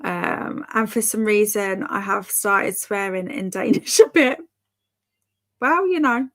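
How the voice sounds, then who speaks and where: A woman's voice: mid-range voice, slow pace. A woman hosting a livestream, chatting casually and reading out comments, rambling with fillers, self-corrections and light laughs. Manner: laughs lightly between remarks. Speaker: livestream host of reading sprints; mother.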